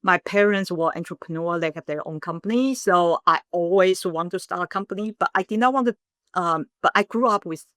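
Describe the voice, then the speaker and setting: Low-voiced woman founder. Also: a little fast, no echo, studio quality. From Taiwan. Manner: earnest storytelling, animated in bursts. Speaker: guest, startup founder. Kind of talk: casual interview talk.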